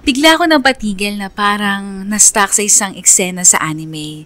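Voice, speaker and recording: A woman's voice: mid-range voice, normal speed, sharp tone, natural voice. A woman playing a lovestruck, conflicted young woman narrating her own story in a confiding radio drama style. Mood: tender, lovestruck. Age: young adult. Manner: confiding, earnest.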